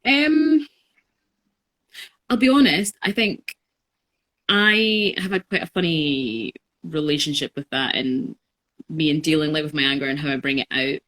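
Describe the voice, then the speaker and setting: A woman in her twenties talking in a relaxed podcast conversation, thoughtful and reflective, with many pauses and fillers. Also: deep voice. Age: 20s. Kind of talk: casual conversation.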